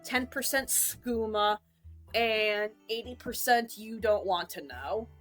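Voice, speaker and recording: A woman's voice: fairly high pitch, slow, a bit bright, faint music behind. A woman streamer in casual rambling chat, jokey and self-mocking.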